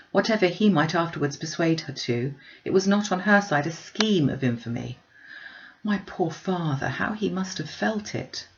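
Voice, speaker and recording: A woman voicing an anxious, indignant young gentlewoman in a classic novel, urgent, probing and emphatic. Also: medium pitch, dull audio. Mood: distressed reproach.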